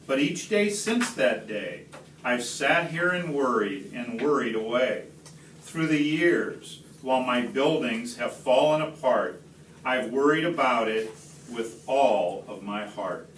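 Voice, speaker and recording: A man reading a rhyming picture book aloud expressively, slowly and deliberately, with dramatic pauses. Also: medium pitch, expressive, fairly bright tone. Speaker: read-aloud storyteller. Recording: roomy, distant phone capture, faint noise.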